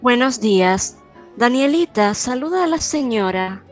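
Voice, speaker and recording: A woman's voice: on the high side, expressive, unhurried, soft background music. A woman playing a polite, reserved Italian immigrant mother in a Spanish-language radio soap.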